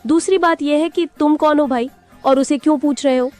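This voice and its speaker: A woman's voice: high voice, fairly quick, darkish tone, faint music behind, natural voice. A woman voicing a sly, self-important fox who poses as a queen in a cartoon, crafty and haughty. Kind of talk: animated moral-story character acting.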